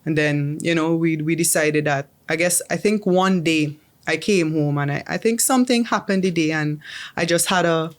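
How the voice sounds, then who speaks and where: A woman's voice: sits low, moderate pace. A young adult woman from the Caribbean, chatting as a guest in an interview, often restarting her sentences with um. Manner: chatty, restarts with um and repeated words. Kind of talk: casual interview.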